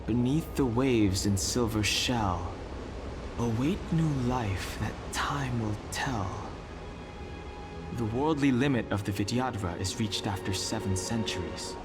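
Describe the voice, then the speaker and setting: A man playing a solemn, reserved young warrior in a video game, speaking gravely, formally and deliberately. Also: mid-range voice, natural voice. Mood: solemn, reciting. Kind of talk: video game character acting. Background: music.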